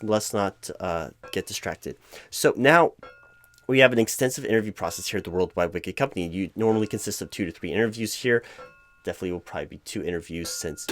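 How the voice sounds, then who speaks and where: A man's voice: on the low side, lightly acted. A man playing a flustered, distracted, eager corporate hiring manager who rambles and stammers in a comedic job interview roleplay. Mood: distracted, apologetic, then hurried, upbeat. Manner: halting, stammering, easily sidetracked, apologetic.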